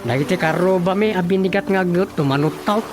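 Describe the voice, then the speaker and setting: A man's voice: high voice, a little fast, music in the background, natural voice. A man with a Filipino accent playing a chatty, teasing village official, the comic sidekick in a radio drama. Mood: bantering. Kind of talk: comedic radio drama acting.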